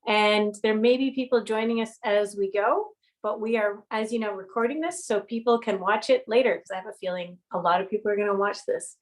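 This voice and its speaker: A woman hosting a library event, giving a casual, informal spoken introduction. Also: medium pitch.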